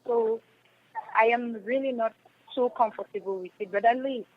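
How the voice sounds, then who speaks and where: A woman with a Cameroonian accent speaks in a fairly high voice as a guest in a radio interview. She is earnest and emphatic, often restating a phrase to press her point. Faint noise, like a vehicle rumble, sits behind her. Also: normal speed, phone-quality sound. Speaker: stage and radio drama actress, interview guest. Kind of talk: radio interview, spontaneous answers.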